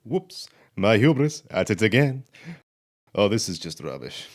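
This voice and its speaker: Man acting a cheeky low-voiced elf thief. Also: very animated, a little slow. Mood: annoyed, pleading. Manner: sardonic, playful, theatrical. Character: roguish, cheeky male elf thief, an old friend.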